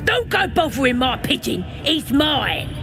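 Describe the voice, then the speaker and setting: Creaky, high-voiced scruffy pigeon keeper. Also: male voice, very animated, measured pace, full character voice. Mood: defensive, possessive. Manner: rough, uneducated speech. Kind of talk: video game character acting. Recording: music behind the voice.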